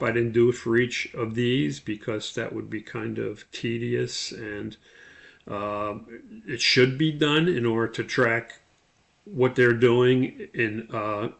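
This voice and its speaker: A man giving an instructional walkthrough as a course instructor, explaining in a halting way and spelling out terms. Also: medium pitch, gravelly, a bit bright.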